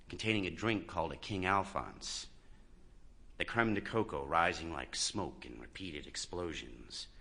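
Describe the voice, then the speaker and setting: A man reading a first-person literary short story expressively, swelling in volume and dropping softly. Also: low-pitched. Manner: expressive, with strong swells and soft drops in volume.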